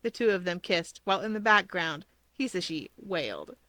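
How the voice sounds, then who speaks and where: A woman's voice: on the low side, sing-song, normal speed, sharp tone, slight background noise. A woman reading fan fiction aloud as a scripted story narration.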